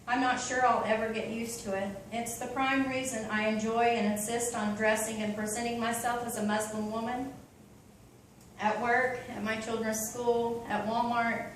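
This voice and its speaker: A woman's voice: on the high side, heavy reverb, recorded from a distance, a little hiss or hum, poor audio. A woman from Oklahoma with an Oklahoma accent, talking in a chatty, open way with many ums and you-knows. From Oklahoma, USA. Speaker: convert giving a talk. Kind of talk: casual personal talk.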